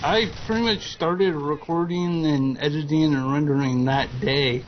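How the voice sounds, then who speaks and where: Slow-talking hesitant young man, muffled mic. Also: fairly high pitch. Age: 20s. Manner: slow, hesitant, lots of ums and uhs. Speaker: guest. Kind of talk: casual chat over gameplay commentary. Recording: dull lo-fi voice-chat audio with faint noise.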